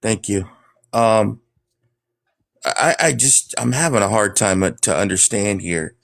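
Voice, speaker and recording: Man, gravelly, low, hesitant questioning, lots of ums. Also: unhurried. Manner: hesitant, many fillers. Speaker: debate panelist. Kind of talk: online debate questioning.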